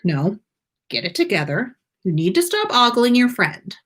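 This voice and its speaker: A woman's voice: a little high, big pitch swings, moderate pace. A woman voicing a smitten, good-humoured young wizard man in a story, easygoing and slightly awkward. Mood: flustered, musing.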